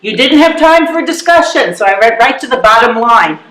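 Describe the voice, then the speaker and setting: A woman's voice: on the high side, animated, fairly quick, a bit bright. Echoey, forthright older woman, acted by a woman. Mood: insistent, frank. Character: Ruth, older woman, forthright, wry, a little jealous. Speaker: amateur readers theatre performer. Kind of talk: readers theatre stage comedy. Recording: roomy, echoing live stage recording.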